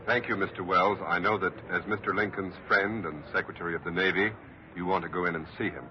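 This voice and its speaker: An actor playing a grave, earnest news reporter in a dramatic, formal 1940s radio style. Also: male voice, deep voice, steady tone, fast, natural voice. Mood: solemn. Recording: muffled, noisy 1940s radio broadcast.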